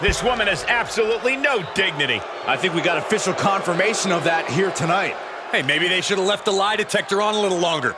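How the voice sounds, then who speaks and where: A man playing an excitable wrestling commentator calling the action in a video game. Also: sits high, lightly acted. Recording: very noisy arena crowd.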